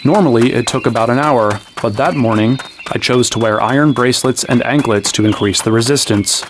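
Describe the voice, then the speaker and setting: A man's voice: mid-range voice, a little fast, noisy background, close to an everyday voice. An actor playing a young man who narrates his own story in scripted first person. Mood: measured narrating.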